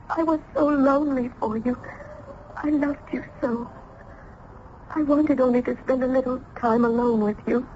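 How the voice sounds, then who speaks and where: A woman acts out a lonely, anguished young daughter in a vintage radio play. Her high voice is earnest and pleading, with melodramatic sorrow. The recording is slightly dull with faint noise. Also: close to an everyday voice. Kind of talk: old-time radio drama acting.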